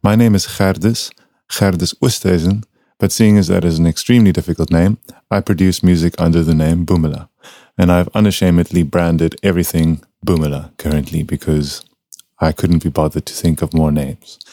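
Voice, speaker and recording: A young man from South Africa hosting a casual podcast from his home studio, talking in a relaxed, rambling way with many fillers. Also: deep voice, moderate pace, dry recording. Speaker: podcast host.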